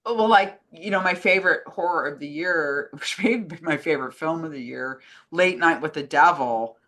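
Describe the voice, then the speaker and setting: Bright, forceful woman chatting about film. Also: sits low, moderate pace. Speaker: co-host, film foundation communications director. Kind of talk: casual film discussion.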